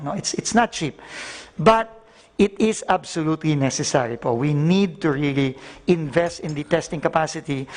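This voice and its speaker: A middle-aged man with a Filipino accent giving a formal briefing report, switching between English and Filipino with polite particles and questioning tags. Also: on the high side. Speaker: government official reporting at briefing.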